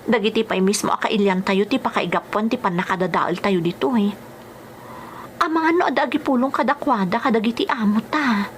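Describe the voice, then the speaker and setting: A woman's voice: mid-range voice, fairly quick, slight background noise, natural voice. An actress playing a cautious, anxious migrant housemaid and mother in a radio drama, earnest, with a Filipino accent. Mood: cautious, worried.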